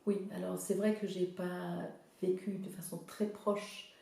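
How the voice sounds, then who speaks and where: Woman from Zimbabwe, English-native, art therapist; dark gravelly tone, halting delivery, roomy echo. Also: fairly low pitch, little pitch movement. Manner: hesitant, searching for words, many fillers. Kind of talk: casual interview. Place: studio workshop.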